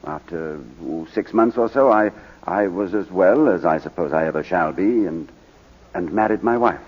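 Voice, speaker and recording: Proud English baronet, low husky voice, dignified and halting, vintage radio drama. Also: male voice, expressive, a little mellow. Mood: hesitant, reserved. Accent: British. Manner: hesitant, clipped, dignified. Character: proud English baronet, war-wounded veteran testifying in court. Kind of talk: 1940s radio drama acting. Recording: muffled vintage 1940s radio broadcast.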